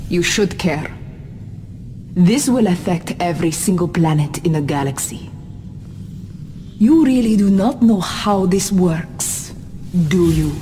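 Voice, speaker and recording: A woman's voice: lowish voice, animated, dark tone, in a large hall, slight background noise. A woman voicing a ruthless alien warrior woman set on conquest, speaking coldly and measuredly in video game voice acting. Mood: menacing. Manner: cold, measured, menacing.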